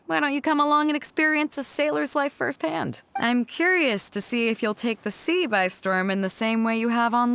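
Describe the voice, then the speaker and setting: A woman's voice: a little high, a bit bright, slight background noise. An actress playing a confident, easygoing woman fleet captain in a video game, with an assured, commanding, colloquial manner.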